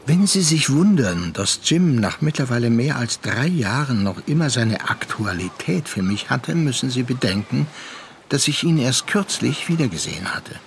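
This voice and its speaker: An actor playing a reflective sea captain, narrating a literary radio drama in a measured way. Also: male voice, mid-range voice, very animated, slight background noise. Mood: pensive. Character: reflective seafaring captain recounting a past affair.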